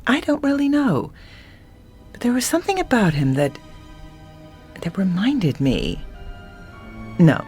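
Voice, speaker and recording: A woman's voice: medium pitch, wildly swooping pitch, a little slow, long pauses, darkish tone, soft background music. A woman acting in a radio drama as a gracious, flirtatious middle-aged widow with a genteel, teasing manner. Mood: wistful.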